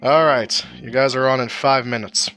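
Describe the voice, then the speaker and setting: A man playing a burly, soft-hearted pub owner with a hearty way of calling out, in an audio drama. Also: medium pitch, fairly even intonation, moderate pace, soft background music, natural voice. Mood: friendly. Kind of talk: audio drama character dialogue.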